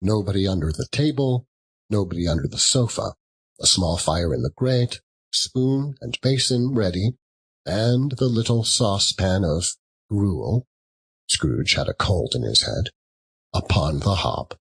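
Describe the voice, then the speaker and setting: A man narrating a classic story as an audiobook, theatrical, with dramatic and deliberate phrasing. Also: fairly low pitch, expressive, gravelly, a bit bright, slightly rough.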